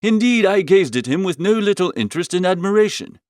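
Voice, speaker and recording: A man voicing an amiable, flustered upper-class young gentleman, chattily narrating a comic audiobook in old-fashioned slang. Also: sits high, expressive, a little fast, no room sound, clean studio sound. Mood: amused narrating.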